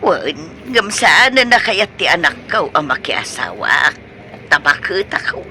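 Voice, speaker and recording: A woman's voice: on the low side, sing-song, measured pace, bright, forceful tone, a little hiss or hum, full character voice. An actress playing a coy, hesitant middle-aged mother being courted, in a comedy radio drama. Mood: reluctant, coy.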